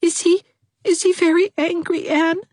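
A woman's voice: level tone, normal speed, a little mellow, no room sound, clean studio sound. A woman doing a tearful, stammering young bride. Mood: whimpering. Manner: whimpering, stammering, high and fretful.

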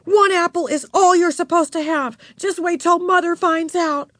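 A woman's voice: extremely high pitch, steady tone, normal speed, fairly bright tone, dry recording, clean studio sound, pitched above the performer's own voice. A woman voicing an exasperated, bossy young girl in a children's audiobook, scolding and emphatic. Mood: angry, scolding.

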